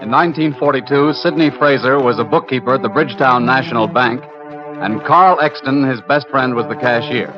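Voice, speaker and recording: A male actor plays a matter-of-fact agent narrating a 1950s radio crime story. He is measured and factual. The old recording is dull, with music playing underneath. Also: medium pitch, close to an everyday voice.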